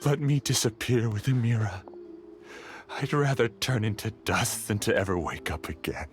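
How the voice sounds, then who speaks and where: An actor playing a grief-stricken, hopeless scholar in a video game, speaking in a weary, bitter and resigned way. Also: male voice, fairly low pitch, normal speed, soft background music, lightly acted. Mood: despairing.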